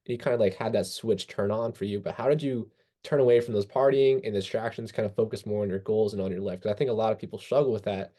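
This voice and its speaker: A man's voice: on the low side, steady tone, fast talker, mellow. A man interviewing casually as a podcast host, affirming the guest and recapping informally before his questions.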